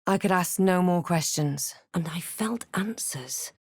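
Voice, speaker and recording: A woman playing a wry, guarded adventurer heroine in a fantasy game, with a measured, dramatic delivery. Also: lowish voice, darkish tone, husky, dry recording, studio quality. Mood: grave narration. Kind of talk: scripted fantasy game voice lines and narration.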